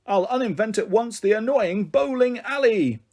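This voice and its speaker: A man's voice: high-pitched, expressive, moderate pace, a little mellow, voice pushed up. A man voicing a pompous, touchy male professor who declares things in rhymes, in a storybook reading. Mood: grandly rhyming. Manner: speaks in bouncy rhymes, indignant. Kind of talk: reading a children's book aloud.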